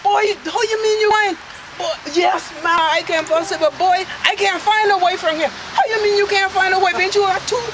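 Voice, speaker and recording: Woman, Bajan accent, creole folk storytelling, lilting twang, very high voice, noisy outdoor playback from far off. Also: fairly even intonation, fairly quick. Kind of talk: folk tale told in creole. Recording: noisy outdoor clip played back from far.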